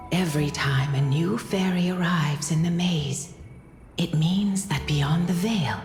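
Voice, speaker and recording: Game casting: mystical woman chief, grave, low and slow, over clear music. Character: grave female village chief, mystical and measured. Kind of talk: scripted game voice acting. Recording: echoing hall reverb, music behind.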